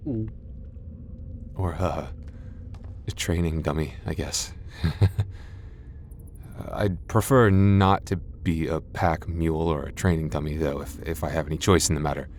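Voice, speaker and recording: A man playing a timid, soft-hearted young knight in an ASMR fantasy roleplay, stammering nervously and putting himself down. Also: very deep voice, steady tone, measured pace, pauses often, slight background noise. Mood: sheepish, deflated. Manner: stammering, self-deprecating, nervous.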